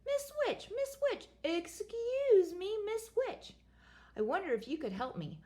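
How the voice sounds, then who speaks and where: A woman's voice: very high voice, huge pitch swings, unhurried, dark tone, voice pushed up, heavily acted. A woman playing a flustered frog prince. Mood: pleading. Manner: flustered, pleading, tripping over words. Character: desperate young male frog prince.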